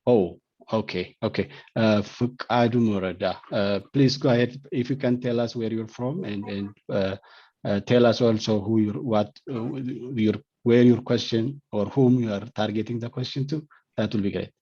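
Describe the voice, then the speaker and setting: A man moderating an online question session, speaking haltingly and repeating words as he searches for phrasing. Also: lowish voice, mellow. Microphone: video call. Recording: dull, lo-fi video call audio.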